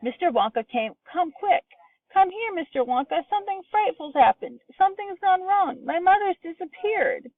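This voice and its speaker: Shrill wailing mom, really high and jumpy, tinny faraway sound as a woman reads it. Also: lively intonation, moderate pace, no echo. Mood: panicked. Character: frantic shrill middle-aged mother. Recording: distant tinny phone-like sound.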